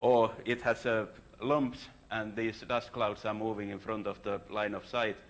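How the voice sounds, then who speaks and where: A man giving an informal scientific conference talk as a researcher, speaking haltingly and often correcting himself mid-sentence. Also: fairly low pitch, flat delivery. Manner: halting, frequently self-correcting, informal phrasing.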